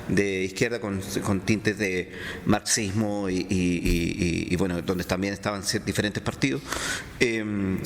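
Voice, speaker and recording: A man from Chile with a Chilean accent, a philosopher answering interview questions in a halting way, full of fillers and restarts. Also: sits low, fairly even intonation. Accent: Chilean Spanish. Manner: hesitant, many fillers and restarts. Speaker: philosopher guest. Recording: reverberant room, faint background noise.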